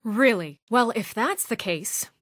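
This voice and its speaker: A woman's voice: a little high, animated, takes it slowly, fairly bright tone, no room sound, studio quality, heavily acted. A woman playing a principled young deputy mayor in a story video game, speaking with earnest, firm exasperation in naturalistic dramatic dialogue. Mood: frustrated, reproachful.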